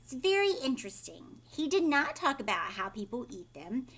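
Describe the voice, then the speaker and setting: High, animated woman reading to children. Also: moderate pace, breathy edge. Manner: questioning, explaining simply to children. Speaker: teacher reading aloud to children. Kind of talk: expressive storybook read-aloud with commentary.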